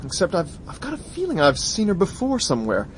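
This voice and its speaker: A man's voice: high-pitched, huge pitch swings, fast, a little hiss or hum. An actor playing a hot-headed, impulsive young man in a radio drama. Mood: agitated. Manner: agitated, impulsive.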